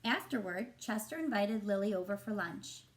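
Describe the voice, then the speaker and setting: A woman reading a picture book aloud to children as a teacher, in an expressive, deliberate and engaging way. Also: mid-range voice, a little hiss or hum. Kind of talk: expressive storybook narration for children.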